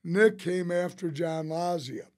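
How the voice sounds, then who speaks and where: A man tells casual, rambling anecdotes as a podcast guest, quoting people in an animated way. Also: high-pitched, slow pace. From St. Louis, United States. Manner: rambling, animated storyteller who quotes others. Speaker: interview guest, restaurateur. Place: small podcast studio. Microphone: close studio mic on a boom arm.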